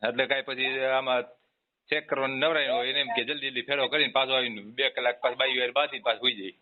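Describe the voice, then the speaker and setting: A man's voice: medium pitch, fairly even intonation, racing. A man with a Kathiawadi Gujarati accent chatting casually on a comic phone call, in colloquial rural banter full of rhetorical questions. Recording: tinny phone call with background noise.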